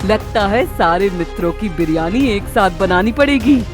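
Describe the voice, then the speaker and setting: Cruel storybook witch, played by a woman, loud gloating menace, music behind. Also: medium pitch, lively intonation, normal speed, close to an everyday voice. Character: cruel gloating witch who eats forest animals. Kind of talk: Hindi animated moral story acting.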